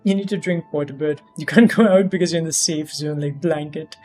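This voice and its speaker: A man's voice: on the high side, fairly quick, a little mellow. Chatty man streaming, game music behind. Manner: chatty, reacting to the game and chat. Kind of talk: casual live gameplay commentary.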